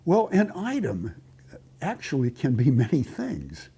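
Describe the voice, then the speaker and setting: Husky, animated man telling stories. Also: mid-range voice, a little slow. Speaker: documentary storyteller. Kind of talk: documentary storytelling.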